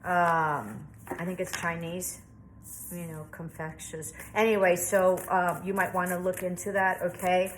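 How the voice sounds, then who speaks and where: A woman's voice: lowish voice, level tone, unhurried, a little hiss or hum. Chatty, reassuring woman reading tarot. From Southwestern United States. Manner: chatty, reassuring, thinks aloud with many fillers. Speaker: tarot reader. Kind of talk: casual tarot reading to camera.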